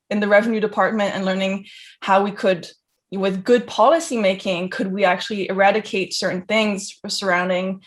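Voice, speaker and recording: Young swiss-born woman telling her own story, informal and chatty, mid pitch, lots of likes and you knows. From Geneva, Switzerland. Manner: conversational, effusive, frequent "like" and "you know". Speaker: guest speaker, crypto entrepreneur. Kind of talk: informal autobiographical talk.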